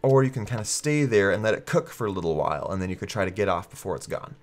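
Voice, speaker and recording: A man's voice: fairly low pitch, animated, quick pace. A man with a stuffy, congested nose hosting a gaming video, explaining things in a casual, conversational walkthrough style. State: congested with a cold. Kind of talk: casual game walkthrough commentary.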